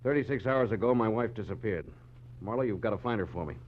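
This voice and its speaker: An actor playing a grim, brusque and anxious husband in a 1940s radio drama. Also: male voice, lowish voice, fast, close to an everyday voice. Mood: urgent, grave. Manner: brusque, urgent. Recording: dull, faintly noisy old radio recording.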